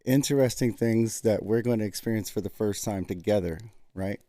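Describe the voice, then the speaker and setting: A man's voice: lowish voice, moderate pace, dark tone, no room sound, clean studio sound. An easygoing man co-hosting a show in casual chat, who laughs often and explains things. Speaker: podcast co-host.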